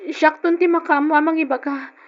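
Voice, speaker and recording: High-pitched, pleading young Ilocano woman. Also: steady tone, a little fast. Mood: hesitant, evasive. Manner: emotional, pleading. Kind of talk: old-style radio drama acting. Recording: dull lo-fi old radio sound.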